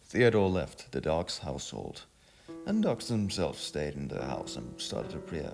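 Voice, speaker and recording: A man narrating a scripted story dramatically, in a halting delivery with stumbles and repeated phrases. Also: extremely low pitch, sing-song, gravelly, a little mellow. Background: music.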